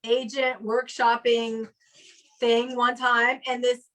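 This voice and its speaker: A woman's voice: fairly high pitch, little pitch movement, slow pace, fairly bright tone. A woman hosting a casual online comedy chat from home, rambling along and acting out what people said. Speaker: host of an online comedy show. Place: home, video call.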